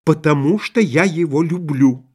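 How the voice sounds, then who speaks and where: A man's voice: on the high side, unhurried, slightly rough. A man voicing a cool, exasperated wife in an audiobook, curt, dismissive and matter-of-fact. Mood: cool, firm.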